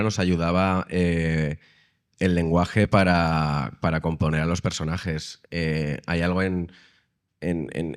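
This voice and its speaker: A man who is an actor, speaking on a panel in a thoughtful, hesitant way. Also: sits low. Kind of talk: panel discussion.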